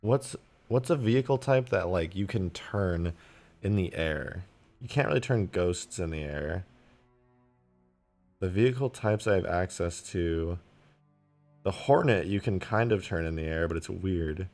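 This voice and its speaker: A man live-streaming while he mods a game, thinking aloud, reading chat and laughing loudly at times, his voice going a bit hoarse. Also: low-pitched, expressive, measured pace, faint music behind. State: losing his voice, slightly hoarse. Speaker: modding streamer. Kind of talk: casual live-stream commentary.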